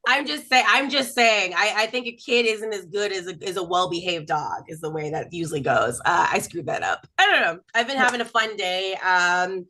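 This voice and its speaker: Chatty, bright-voiced comedian woman from Texas. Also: lowish voice, normal speed, hardly any pauses. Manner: chatty, self-mocking, lively storytelling. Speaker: stand-up comedian. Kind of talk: stand-up comedy set on a video call.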